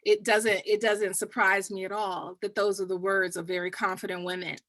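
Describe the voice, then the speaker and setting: Woman with Texas accent, panel moderator, bright forceful voice, dry close sound, upbeat and hype-building. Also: medium pitch, level tone, moderate pace, dry recording.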